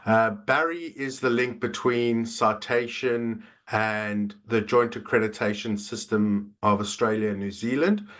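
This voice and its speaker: A man with a South African accent hosting a business webinar over a video call, talking in a measured way with frequent fillers. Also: fairly low pitch, steady tone, a bit bright. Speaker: webinar host. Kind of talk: corporate webinar presentation.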